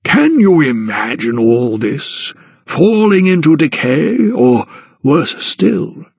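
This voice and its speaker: A man voicing a conniving older aristocratic gentleman who meddles as guardian, speaking slowly and patronizingly. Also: on the high side, big pitch swings, creaky, slightly rough, dry recording, pitched above the performer's own voice, full character voice. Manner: slow, drawn-out, patronizing.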